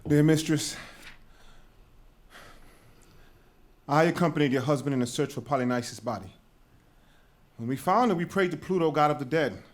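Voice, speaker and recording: A grave messenger from Greek tragedy played by a man, with a high-pitched voice. He is shaken by what he has seen and lays out terrible news in measured, vivid phrases for a staged reading. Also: natural voice. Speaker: actor in a dramatic reading.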